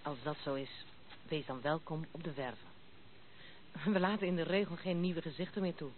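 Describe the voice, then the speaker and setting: A woman's voice: sits low, fairly even intonation, normal speed, close to an everyday voice. An actress playing a proud, outspoken young noblewoman in a Dutch radio play, with a brusque, mocking manner. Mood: guarded, cool. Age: 20s. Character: blunt, proud, unconventional young noblewoman of twenty-six. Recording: tinny band-limited old radio play recording, noisy.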